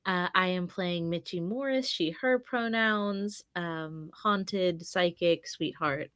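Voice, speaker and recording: A woman's voice: on the low side, takes it slowly, fairly bright tone, clear, resonant tone, no room sound, clean studio sound. A woman player introducing herself with a playful, affectionate manner. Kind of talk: casual self-introduction.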